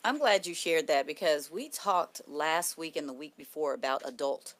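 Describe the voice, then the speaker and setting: A woman's voice: sits low, lively intonation, moderate pace. A woman hosting a talk show, emphatic and speaking straight to her listeners. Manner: emphatic, addresses listeners directly.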